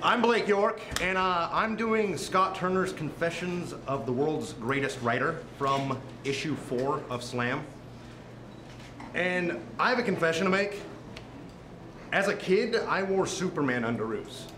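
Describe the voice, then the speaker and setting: A man's voice: a little high, a little slow. A man performing a dramatic reading. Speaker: reader. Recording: echoey noisy room.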